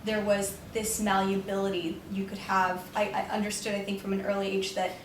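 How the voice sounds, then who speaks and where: A woman's voice: medium pitch, flat delivery, fairly quick. Reflective young woman poet in an echoey room. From New York, United States. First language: Romanian. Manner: thoughtful, reflective, with frequent hedges and restarts. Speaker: poet, prize winner. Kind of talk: interview answers. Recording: echoey room, voice from far off, faint noise.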